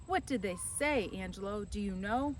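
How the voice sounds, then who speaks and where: An eager, cheeky boy of fourteen from a children's storybook , played by a woman reader. He is curious and excitable, with lively rising and falling pitch. Some noise runs behind the recording. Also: normal speed, recorded from a distance, lightly acted. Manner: questioning, excitable. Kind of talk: children's storybook character reading.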